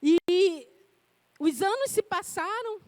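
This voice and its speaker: A woman's voice: very high voice, lively intonation, takes it slowly, darkish tone, no echo. A woman in her forties preaching a sermon, reading scripture and then telling personal stories in a conversational way. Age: 40s.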